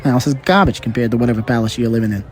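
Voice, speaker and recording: A man playing a blunt, guarded gambler deep in debt, in an audio drama. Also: racing, some chatter behind, natural voice. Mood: guarded, irritated. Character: wary, indebted gambler, blunt and impatient.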